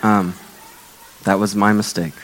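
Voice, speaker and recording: Hoarse male preacher in a reverberant hall. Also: on the low side, little pitch movement, a bit bright. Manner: earnest, measured preaching with scripture readings. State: losing his voice. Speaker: pastor. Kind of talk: sermon.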